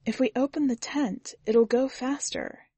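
A woman's voice: sits high, a little slow, dark tone, breathy edge, no room sound, pitched above the performer's own voice. A woman voicing a resigned young woman in a story, solemn and insistent, serene about dying.

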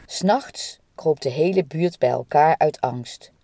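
A woman's voice: low-pitched, a little slow, warm tone. A woman presenting and interviewing, narrating in a plain conversational way.

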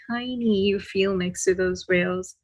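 A woman from Sri Lanka speaking as a guest in a casual podcast chat. Her voice sits at a middle pitch, and she talks informally and tentatively, checking she is being understood. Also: steady tone, measured pace, a little mellow.

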